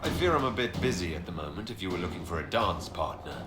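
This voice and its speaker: A man's voice: low-pitched, animated, fast talker, heavy background noise. An actor playing a resolute inquisitor in a video game, direct and authoritative as he questions and gives orders. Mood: commanding. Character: commanding male inquisitor, resolute hero and investigator. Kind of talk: video game cutscene dialogue. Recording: roomy echo, faint music behind.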